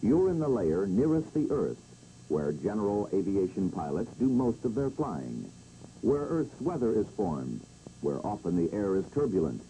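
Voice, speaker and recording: An American man giving a dramatic, measured scripted narration for a 1960s training film, on an old film soundtrack. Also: lowish voice, big pitch swings, slight background noise, rough recording. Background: loud background music.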